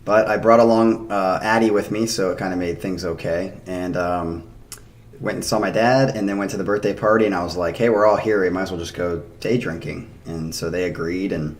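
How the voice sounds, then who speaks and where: A man in his thirties, hoarse from a day of drinking, chatting loosely and rambling to his stream audience into a desk microphone. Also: low-pitched, steady tone, fairly quick. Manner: rambling, chatty, laughs often. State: hoarse after a night of drinking. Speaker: streamer reacting to music. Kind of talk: casual chat to a live stream.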